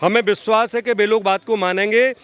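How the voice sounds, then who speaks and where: A man's voice: sits high, fairly even intonation, fairly quick, a bit bright, clear, resonant tone. A man giving impassioned political opinion in an oratorical, emphatic way, repeating phrases for stress. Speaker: social activist giving street interview.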